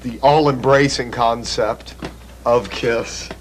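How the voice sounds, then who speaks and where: A man interviewing musicians, hesitant and halting with many fillers. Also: medium pitch, fairly even intonation, slight background noise, poor audio.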